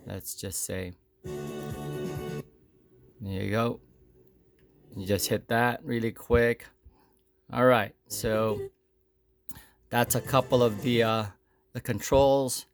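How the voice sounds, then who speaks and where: A man giving a casual, unscripted hands-on demo, explaining plainly in short, halting phrases with pauses between them. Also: fairly low pitch. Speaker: product demonstrator.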